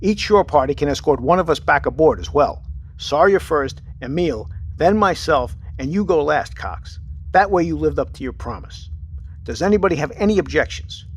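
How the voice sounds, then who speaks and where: An actor playing a steady, pragmatic submarine captain in an audio drama, with a clipped, commanding, matter-of-fact manner. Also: male voice, fairly high pitch, normal speed, husky. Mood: firm, resolved.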